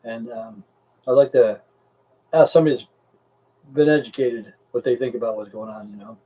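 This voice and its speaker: A man's voice: mid-range voice, moderate pace, dark tone, slightly rough, distant mic, low-quality recording. A middle-aged or older American man chatting casually and rambling to live stream viewers, with a blunt, folksy, profane way of talking.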